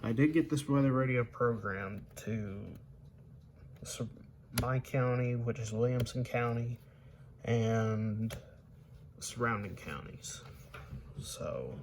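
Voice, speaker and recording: A man aged twenty-one giving a casual, unscripted demonstration as a hobbyist, halting and pausing mid-sentence. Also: medium pitch. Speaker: hobbyist showing weather radios. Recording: home recording with faint background noise.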